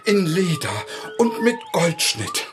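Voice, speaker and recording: An actor playing a fussy, rapturous old poet in a German radio play, declaiming theatrically. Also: male voice, high-pitched, sing-song, slow, music in the background, full character voice. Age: older.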